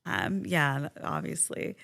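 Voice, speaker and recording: A woman's voice: sits low, very animated, takes it slowly, creaky, fairly bright tone, dry recording, studio quality. A woman podcast co-host who grew up speaking Farsi, chatting casually and animatedly with her partner and laughing often. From Iran. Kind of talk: casual couple chat.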